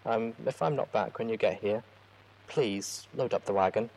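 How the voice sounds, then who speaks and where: A man voicing a worried young mare writing to a friend, read in a soft, hushed voice. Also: on the low side, moderate pace, rough recording. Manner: soft, hushed letter reading.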